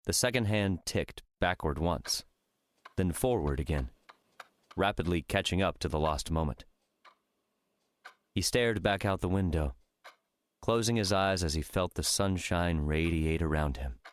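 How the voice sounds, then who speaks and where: Deep-voiced male audiobook narrator. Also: unhurried, pauses often, no echo. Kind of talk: scripted fiction narration.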